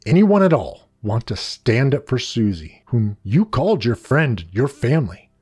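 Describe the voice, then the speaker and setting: A man's voice: mid-range voice, very animated, measured pace, a little mellow, slightly rough, soft background music. A man playing a domineering, self-righteous male schoolteacher in a story, stern and emphatic, drawing out his words. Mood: angry, menacing. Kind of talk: scripted story dialogue.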